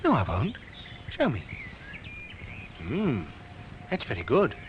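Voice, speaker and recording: Pushy young soldier, old radio. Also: male voice, mid-range voice, huge pitch swings, slow pace. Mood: friendly, curious. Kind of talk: 1950s radio drama acting. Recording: muffled, noisy old 1950s radio recording.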